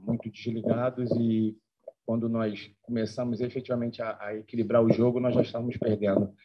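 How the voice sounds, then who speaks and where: A man, a football coach, answering press questions in measured, reflective phrases with short pauses at a table mic in a press conference room. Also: medium pitch, level tone. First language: Portuguese. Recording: dull lo-fi stream audio.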